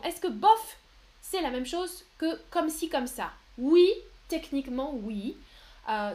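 A young woman with a high voice teaches French on a live stream, reading out viewers' questions. She speaks slowly and clearly, pausing to repeat examples. She sounds patient and encouraging throughout. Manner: slow, clear and patient, often reading chat names aloud. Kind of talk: live-streamed language lesson answering chat.

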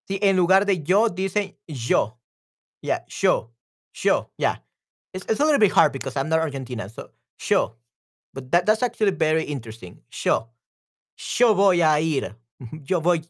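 A voice actor with a Salvadoran accent giving a casual language lesson, chatty and repeating words slowly, often checking in with the listener. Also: mid-range voice. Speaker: Spanish teacher and voice actor. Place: room with some echo.

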